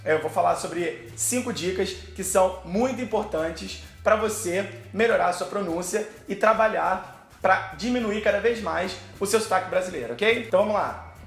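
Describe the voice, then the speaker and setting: A man's voice: high voice, normal speed, vocal fry, echoey room, faint music behind. A Brazilian man with a Brazilian Portuguese accent, teaching English pronunciation in a video lesson, posing questions and then answering them.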